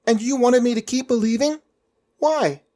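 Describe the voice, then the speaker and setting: A man's voice: extremely high pitch, darkish tone, voice pushed up. A man voicing an earnest, determined young boy who speaks insistently in an audio story. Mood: questioning. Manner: earnest, insistent, quick bursts. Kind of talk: audio fiction character voice.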